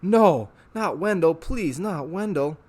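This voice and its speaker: A man's voice: mid-range voice, moderate pace, close to an everyday voice. A man voicing a stubborn young boy. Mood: desperate. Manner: curt, flat refusals, desperate yells.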